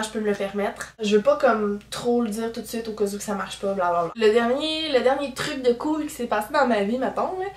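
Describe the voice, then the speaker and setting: An 18-year-old woman with a strong Québécois French accent, chatting candidly to her viewers in a casual vlog, full of fillers. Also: a little high. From Quebec. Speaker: YouTuber. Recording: roomy echo, camera at a distance.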